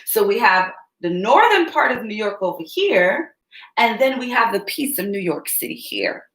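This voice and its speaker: A woman reading a picture book aloud to young children, expressive and teacherly, often asking them questions. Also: medium pitch, normal speed. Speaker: children's story reader. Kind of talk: read-aloud storytelling for young kids. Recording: roomy echo.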